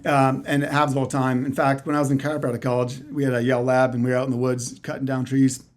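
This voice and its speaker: A man's voice: medium pitch, little pitch movement, fast talker. A man in his forties with a Canadian accent, a chiropractor chatting casually as an interview guest, rambling through stories with dry, self-deprecating humor.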